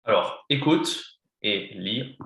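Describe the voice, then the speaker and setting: A man teaching French on a video call to a beginner, switching between English and French and guiding slowly and patiently. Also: lowish voice, animated, fairly bright tone, echoey room. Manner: patient, slow, coaching a beginner. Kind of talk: online lesson, mixing English and French.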